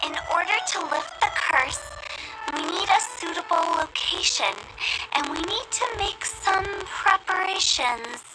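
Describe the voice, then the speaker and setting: A young female video game character, high-voiced and very sure of herself. She is playful and theatrical, handing out instructions with assurance, while music plays clearly behind her. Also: animated, measured pace, low-quality recording. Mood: confident, commanding. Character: confident, playful young female funeral parlor director.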